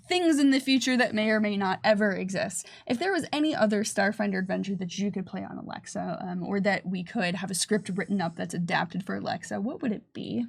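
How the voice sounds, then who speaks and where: Creaky-voiced woman chatting on a livestream. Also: mid-range voice, fairly quick, few pauses. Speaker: game developer and livestream presenter. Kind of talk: casual livestream Q&A chat.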